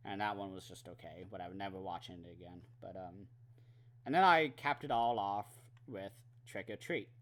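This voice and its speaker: A man's voice: deep voice, normal speed, fairly bright tone. A man chatting casually in a monthly movie vlog, rambling with many fillers and some swearing, his throat dry and his voice going. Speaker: movie vlogger. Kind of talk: casual monthly wrap-up chat.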